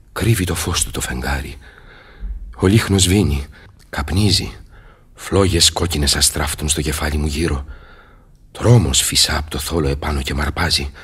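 An actor playing an aged, weary, despairing scholar turned sorcerer, declaiming verse theatrically in a radio play. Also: male voice, a bass voice, fairly bright tone, husky, heavily acted. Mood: fervent, awed. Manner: theatrical, impassioned, slow declamation.

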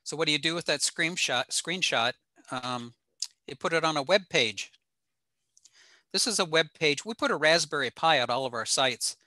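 Older man, American accent, sharp forceful bright voice, dry close sound, informal technical presenter, halting explanations. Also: fairly high pitch, lively intonation, no echo. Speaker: presenter, ham radio operator. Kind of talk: informal slide lecture. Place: small home room indoors.

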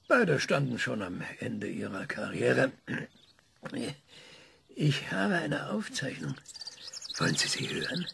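In a radio play, an eccentric, cranky older man who stalls with many ums and then lectures. His voice is gravelly and rises and falls in lively swings. A clear hiss of noise runs under the recording. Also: on the low side, heavily acted. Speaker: interviewee.

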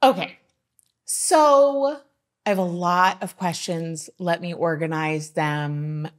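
A woman hosting a casual podcast interview, expressive and quick to laugh. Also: on the low side. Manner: expressive, laughs often, playful echoes of the guest. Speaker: podcast host. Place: decorated podcast studio set with plants. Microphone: studio mic on boom arm, close.

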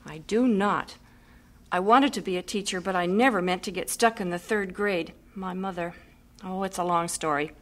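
A woman's voice: lowish voice, normal speed, a bit bright, lightly acted. An actress playing an earnest, restless young schoolteacher in her twenties in a radio drama. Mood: frustrated. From Canada.

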